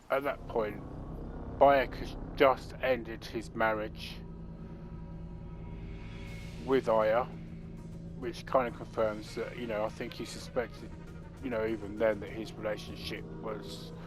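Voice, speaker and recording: A man giving casual, rambling, opinionated commentary on a video game. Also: lowish voice. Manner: rambling and opinionated, many fillers. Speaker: gaming commentator.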